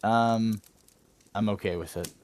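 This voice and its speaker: A man's voice: fairly low pitch, steady tone, unhurried, a little mellow. An American man co-hosting a podcast, chatting casually in a laid-back, good-humoured way. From United States. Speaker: podcast co-host and quiz contestant.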